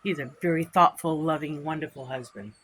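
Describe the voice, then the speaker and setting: A woman's voice: low-pitched, fairly quick, a bit bright, a little hiss or hum, low-quality recording. A woman hosting a vlog in casual, rambling talk, stuffed up from allergies, outdoors with birds and insects around. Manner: chatty, rambling and repetitive. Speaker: vlog host. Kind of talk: casual chat to camera.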